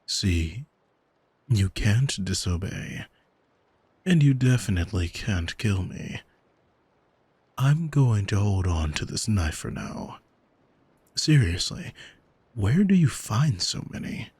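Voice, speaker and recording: Man playing a languid, husky, arrogant male demon. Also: fairly low pitch, big pitch swings, takes it slowly, long pauses, a little mellow, no room sound, exaggerated voice. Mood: smug, coaxing. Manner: languid, teasing, commanding, condescending. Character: arrogant male demon, seductive, condescending, coolly manipulative captor. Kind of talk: ASMR roleplay audio drama, intimate address to listener. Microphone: close microphone, dry.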